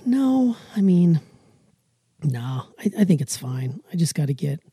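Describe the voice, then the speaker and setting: A woman's voice: deep voice, sing-song, unhurried, warm tone, no room sound, studio quality. A middle-aged woman, chatting emphatically and with self-assurance as a podcast co-host. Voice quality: raspy. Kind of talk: casual chat with emphatic opinion.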